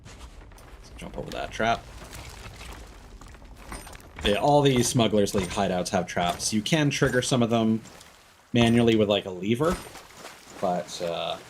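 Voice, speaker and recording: A man's voice: on the low side, animated, unhurried, pauses often, slight background noise. A man giving casual live game stream commentary, rambling and thinking aloud into a streaming microphone.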